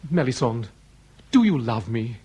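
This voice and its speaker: Husky, ardent suitor. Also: male voice, mid-range voice, big pitch swings, a little slow, warm tone. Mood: ardent, urgent. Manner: impassioned, ornate, formal. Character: ardent, grandiloquent aristocratic suitor, urgent and jealous. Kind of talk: melodramatic period radio drama.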